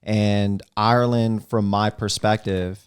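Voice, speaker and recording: A man with a low, smooth, resonant voice hosting a casual podcast. He opens the show and asks his questions slowly and carefully. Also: level tone, no echo, studio quality. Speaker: podcast host.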